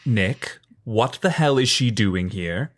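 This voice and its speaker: A man's voice: lowish voice, lively intonation, slow. A man playing an irritable, sardonic vampire boss in an audio drama, sarcastic and exasperated. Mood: irritated.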